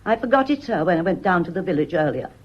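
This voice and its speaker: Devoted servant, radio drama, respectful, mournful, quietly pointed, muffled recording. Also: female voice, lowish voice, very animated, fast, husky. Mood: innocent, wistful. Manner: deferential, mournful, softly insinuating. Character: deferential, devoted housemaid with quietly pointed remarks. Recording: dull, muffled lo-fi audio.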